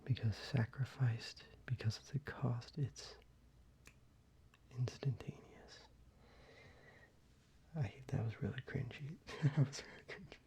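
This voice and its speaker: A man speaking in a soft, breathy whisper, gently rambling in a casual ASMR style. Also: medium pitch, measured pace, long pauses. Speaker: ASMR video creator. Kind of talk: soft-spoken ASMR, casual card-collection show-and-tell. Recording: muffled, with background hiss.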